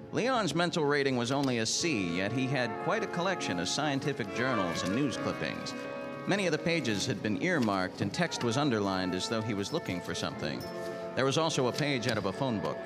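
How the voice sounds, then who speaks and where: A hardboiled American private eye played by a man tells his story in terse, slangy noir fashion. He sounds world-weary, in the manner of 1940s radio drama, with music clearly playing underneath. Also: mid-range voice, very animated, brisk, close to an everyday voice. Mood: weary, brooding. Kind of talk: 1940s radio noir acting, narration and dialogue.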